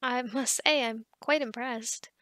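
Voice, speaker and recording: A woman acting a kind, encouraging office boss in an ASMR roleplay, speaking softly and conversationally with hesitant pauses and sighs. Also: a little high, steady tone, no room sound. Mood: warm, encouraging.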